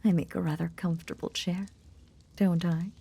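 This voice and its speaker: A woman playing a possessive lamia in an intimate roleplay audio drama, tenderly nursing in a breathy, half-whispered, seductive murmur with veiled menace. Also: lowish voice, flat delivery, dark tone. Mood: gentle, doting. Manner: slow, soothing, seductive murmur.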